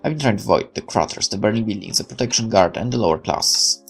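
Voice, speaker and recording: A man's voice: on the low side, expressive, fast, slightly rough, soft background music. A man reading a visual novel aloud as he plays, with wry asides. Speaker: game player.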